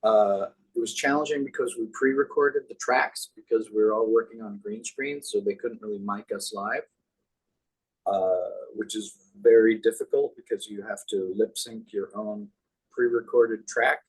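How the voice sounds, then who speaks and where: A man with a deep voice, chatting casually as an interview guest and reminiscing in an animated storytelling way. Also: moderate pace, poor audio. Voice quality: deep, husky. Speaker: guest, puppeteer.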